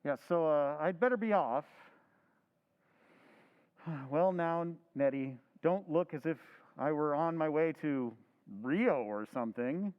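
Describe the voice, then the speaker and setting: A man's voice: fairly high pitch, animated, slow, smooth. A man playing a weary, evasive husband who answers tersely and impatiently in an old-time radio drama recreation. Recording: tinny phone-like sound.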